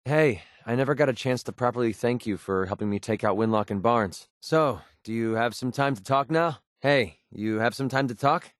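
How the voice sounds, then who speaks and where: An actor playing a guarded, wry and short-tempered young mercenary with a tender side in a video game, speaking informally. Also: male voice, mid-range voice, dry recording, clean studio sound, natural voice. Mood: earnest, hopeful. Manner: informal, halting when emotional. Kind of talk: scripted video game character dialogue.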